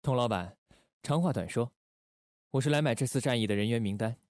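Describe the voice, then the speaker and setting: Man acting a calm, calculating undercover agent. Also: mid-range voice, dry recording, studio quality, lightly acted. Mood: persuasive, cool. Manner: controlled, measured, guarded. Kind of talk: Chinese radio drama acting.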